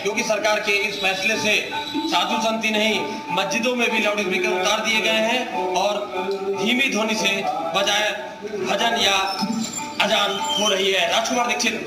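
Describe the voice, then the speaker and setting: A man's voice: sits high, fairly even intonation, moderate pace. A man giving a news report from the field to camera. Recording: echoey, loud music behind.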